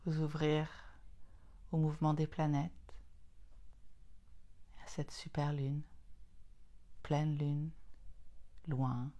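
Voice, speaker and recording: A woman leading a guided yoga nidra relaxation in a soothing, unhurried manner, in short phrases separated by long pauses. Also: sits low, flat delivery, dark tone.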